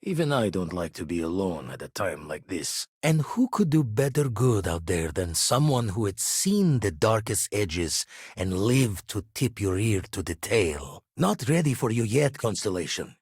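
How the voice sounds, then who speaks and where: A shrewd, weathered former space pirate from a video game. His voice is husky and on the low side, with melody that swings widely from phrase to phrase. He speaks in measured, slangy and theatrical turns, guarded yet loyal to his crew. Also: sing-song, dry recording, studio quality, exaggerated voice. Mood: wry, reflective. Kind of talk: scripted game character dialogue.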